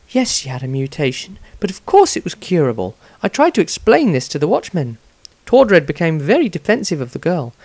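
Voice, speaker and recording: A man's voice: high voice, darkish tone, no echo, pitched above the performer's own voice. A man voicing an earnest, indignant young trainee priestess reading out her diary in a fantasy tale. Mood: concerned, dismayed. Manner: prim, indignant storytelling.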